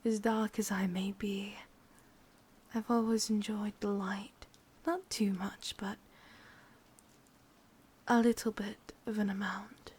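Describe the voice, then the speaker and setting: Casting: actress as possessive yandere witch for ASMR roleplay, English accent, breathy and husky, unhurried, quietly menacing. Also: medium pitch, flat delivery. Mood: wistful, intimate. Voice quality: breathy whisper. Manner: slow, deliberate, softly menacing. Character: sadistic, lovelorn, ancient yandere witch, commanding and possessive.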